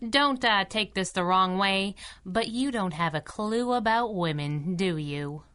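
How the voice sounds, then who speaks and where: A woman's voice: mid-range voice, a little slow, a bit bright, clean tone, close to an everyday voice. An actress playing a spunky, wisecracking young female sidekick and mechanic in a radio drama, with a Canadian accent. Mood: teasing. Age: young adult. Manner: sassy, teasing banter. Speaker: hero's sidekick.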